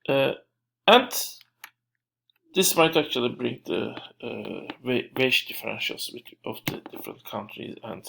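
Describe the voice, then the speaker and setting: A man from Turkey with a Turkish accent giving an unscripted lecture, speaking haltingly as he searches for words and corrects himself. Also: medium pitch, animated, vocal fry, fairly bright tone.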